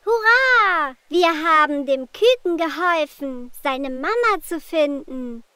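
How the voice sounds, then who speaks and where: A woman voicing a cheerful, helpful little girl in a children's cartoon, encouraging young viewers clearly. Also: sits high, lively intonation, takes it slowly, pushed and bright, heavily acted. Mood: excited. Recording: music under the voice. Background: children's music.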